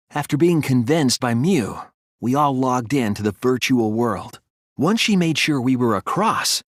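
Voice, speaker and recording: An earnest young male detective in a video game lays out his reasoning with care. His mid-pitched voice moves expressively and has a soft, husky edge. The recording is dry and close, and the delivery is thoughtful and analytical. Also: no echo. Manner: deliberate, earnest explaining. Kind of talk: scripted video game voice acting, deduction speeches.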